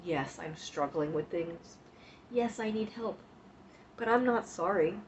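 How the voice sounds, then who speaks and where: Low, slow-talking woman with the flu. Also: lively intonation, a little hiss or hum. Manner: frank and profane, talks straight to chat. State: sniffly with flu, coughing. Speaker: livestream host. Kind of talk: casual livestream chat about self-care. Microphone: streaming mic. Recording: dull lo-fi stream audio.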